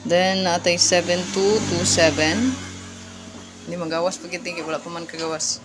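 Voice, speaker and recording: Visayan filipino vlogger talking casual, repeats stuff a lot, mid pitch, pretty noisy amateur recording. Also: fairly even intonation, moderate pace. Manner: repeats key words and numbers, addresses viewers as ma'am and sir. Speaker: vlogger giving number tips. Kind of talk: casual informal vlog explanation.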